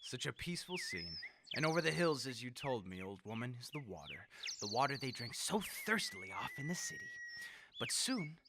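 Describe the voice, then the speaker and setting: A man playing a megalomaniac leader bent on mass death in a radio horror drama, theatrical and gloating, moving between hushed menace and shrieks. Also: medium pitch, very animated, fairly quick, heavy background noise. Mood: brooding menace. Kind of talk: old-time radio horror drama acting.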